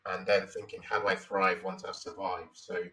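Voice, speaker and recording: Deep, bright-toned Nigerian man, slightly distant. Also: little pitch movement, normal speed. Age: 40s. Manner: thoughtful, repeats phrases, many "you know, like" fillers. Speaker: guest. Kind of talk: reflective interview answers.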